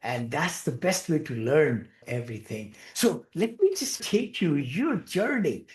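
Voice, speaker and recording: A man's voice: a little high, big pitch swings, unhurried, roomy sound. A man hosting a podcast interview over a video call, effusive and encouraging, with lots of short affirmations. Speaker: podcast host. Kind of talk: enthusiastic interview chat.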